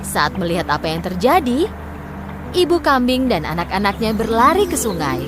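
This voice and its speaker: A woman's voice: medium pitch, big pitch swings, normal speed, noisy background. A woman storyteller reading scripted fairy tale narration for children.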